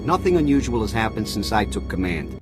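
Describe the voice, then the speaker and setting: An actor playing an irritated, sarcastic military colonel who talks dismissively, in a video game. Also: male voice, lowish voice, big pitch swings, fairly quick. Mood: sarcastic, resentful. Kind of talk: video game character acting. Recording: game dialogue over ominous music.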